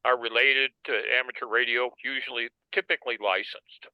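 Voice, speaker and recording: An American man explaining things informally on a group call, halting and a little wry. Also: mid-range voice, steady tone, clear, resonant tone, no echo. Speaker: meeting participant. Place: online video or teleconference. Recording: dull, lo-fi conference call audio.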